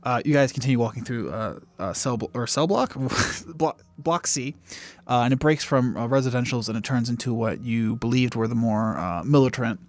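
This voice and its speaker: A man running a tabletop game as game master, improvising scenes in a halting, informal, jokey way. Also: mid-range voice, dry recording. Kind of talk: casual banter.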